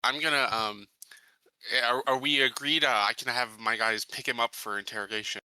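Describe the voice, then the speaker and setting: A man's voice: medium pitch, fairly even intonation, a little fast, sharp tone, clean tone, dry recording, rough recording. A man playing a tabletop roleplaying game, chatting casually and hesitantly as he searches for his words.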